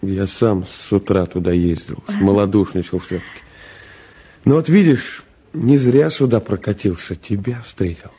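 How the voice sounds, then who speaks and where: An actor playing a modest, steady young provincial man with a reserved, plain-spoken manner in a Soviet radio drama. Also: lowish voice, moderate pace, husky. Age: young adult. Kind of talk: mid-century Soviet radio drama acting.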